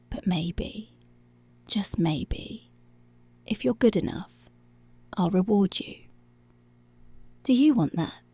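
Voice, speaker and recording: A woman playing a dominant, seductive policewoman in an intimate ASMR roleplay, breathy and deliberate, often dropping to a whisper. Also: fairly low pitch, warm tone, dry recording. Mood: seductive, possessive. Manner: slow, deliberate, commanding.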